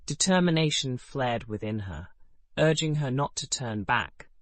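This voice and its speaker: Calm male bedtime story narrator. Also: mid-range voice, a little slow, no echo.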